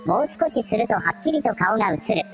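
A precise, loyal machine assistant voiced by a woman reports in terse formal phrases. The voice is fairly high and lively in pitch, in radio-drama style, over faint music. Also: fast talker, natural voice. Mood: analytical. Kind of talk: amateur anime-like radio drama acting.

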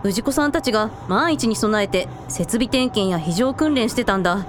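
A woman playing a caring, take-charge shrine priest in a radio drama, asking questions with brisk concern. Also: mid-range voice, lively intonation, background noise, close to an everyday voice.

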